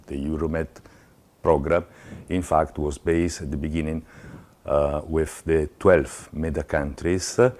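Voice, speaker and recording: A man explaining something in a measured, matter-of-fact way. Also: very deep voice, darkish tone. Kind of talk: explanatory talk.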